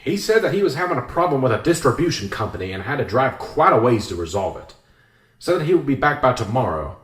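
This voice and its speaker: An actor playing an older small-town police sergeant in a radio drama, plain-spoken, weary and defensive. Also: male voice, medium pitch, sing-song, fast talker, a bit bright. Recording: roomy echo with music behind. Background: music.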